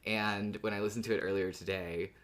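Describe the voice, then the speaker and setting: Low-voiced man on a casual podcast. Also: fairly even intonation, fairly quick, a bit bright. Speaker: podcast host. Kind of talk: casual podcast chat and episode recap.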